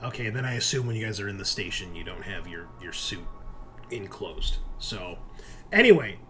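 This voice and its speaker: A man's voice: sits low, very animated, normal speed, sharp tone, slight background noise. A man narrating a tabletop roleplaying game as game master, improvising informally and addressing the players as "you".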